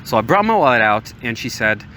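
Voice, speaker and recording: Bright-voiced young man telling personal stories. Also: mid-range voice, fairly quick, low-quality recording. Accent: American. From Morocco. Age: young adult. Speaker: podcast host. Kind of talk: casual personal storytelling. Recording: steady outdoor-like background noise, waves. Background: ocean waves ambience.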